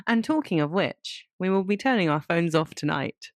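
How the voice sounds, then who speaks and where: An English woman co-hosting a relaxed, informal podcast conversation. Her voice is low for a woman. The recording is close and dry, with hardly any room around it. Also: moderate pace, no room sound. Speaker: podcast co-host. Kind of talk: casual chat.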